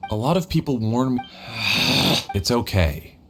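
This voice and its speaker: A man playing a gentle, resigned researcher in a video game, soft-spoken and patient. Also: mid-range voice, expressive, slow, faint music behind. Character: gentle, resigned, self-effacing adult researcher.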